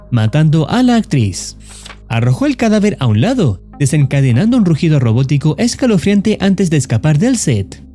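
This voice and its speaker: A man narrating a horror story as a scripted voice-over, in a steady, solemn storytelling manner with ominous emphasis. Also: a little high. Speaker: YouTube horror channel narrator.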